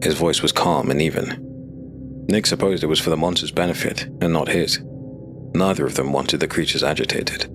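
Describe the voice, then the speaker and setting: A man narrating a dark fantasy audio drama in a measured, steady storytelling voice. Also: extremely low pitch. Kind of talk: scripted narration. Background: music.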